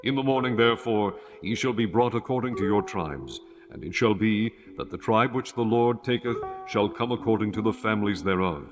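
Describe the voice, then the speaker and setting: Low-voiced man narrating scripture solemnly. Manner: solemn, measured. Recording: music behind the voice.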